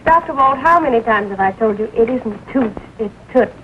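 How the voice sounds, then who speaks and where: An actress plays an efficient young small-town assistant. Her voice is on the high side, pert and matter-of-fact, in old film comedy style. The sound is narrow with faint noise. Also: lively intonation, normal speed, natural voice. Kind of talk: 1940 film comedy acting. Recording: thin, noisy 1940 film soundtrack.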